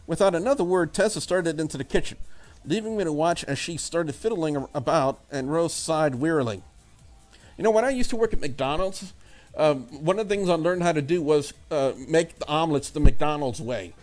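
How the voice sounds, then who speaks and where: A man hosting a let's play, casually commenting and reading game text aloud in a halting way. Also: a little high, lively intonation, darkish tone. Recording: music under the voice.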